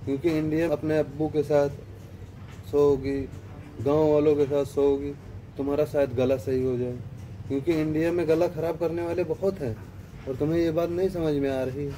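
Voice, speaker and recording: A man giving a scornful, heated commentary, now muttering and now bursting out loudly. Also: on the high side, fairly even intonation, moderate pace, slight background noise, low-quality recording. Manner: scornful and heated, rising to loud outbursts. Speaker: online commentator. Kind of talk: ranting video commentary.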